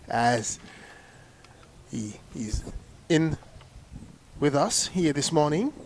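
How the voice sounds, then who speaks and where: A man with a slightly raised pitch calls a cricket match live, chatty and loose, stumbling into repeats like "they, they" as he goes. His talk flows like relaxed booth commentary. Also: extremely slow, slight background noise. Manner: chatty, often repeats words when restarting.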